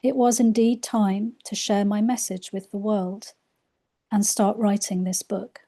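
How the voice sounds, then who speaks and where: A woman reading aloud from her own spiritual book in a soft, unhurried way, her voice a little croaky. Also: medium pitch, flat delivery. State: slightly croaky voice. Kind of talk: slow gentle book reading.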